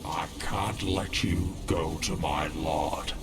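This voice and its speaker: An actor playing a solemn, loyal male guardian who speaks with formal, measured menace in a video game. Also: extremely low pitch, fairly even intonation. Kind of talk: dramatic game voice acting. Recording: echoey room, music behind.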